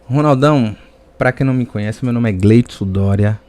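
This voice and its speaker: A man's voice: medium pitch, normal speed, darkish tone. A man from the Salvador area with a Bahian Brazilian accent, speaking as a podcast guest in conversational personal storytelling.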